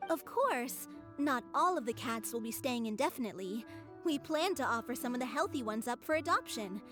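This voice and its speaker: Actress as young female game character, high pitch, earnest, eager, kind-hearted, expressive, faint background music. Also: normal speed, natural voice. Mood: upbeat. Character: earnest, anxious young woman, kind-hearted cat lover. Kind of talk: animated video game voice acting.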